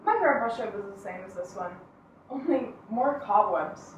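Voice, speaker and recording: A woman's voice: mid-range voice, expressive, normal speed, lo-fi sound, close to an everyday voice. Actress as wry reminiscing woman, dramatic monologue, conversational, reflective, heavy room echo, faint background noise. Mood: wistful. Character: plain-spoken, wry woman reminiscing about a late relative. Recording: very echoey room, distant mic, faint noise.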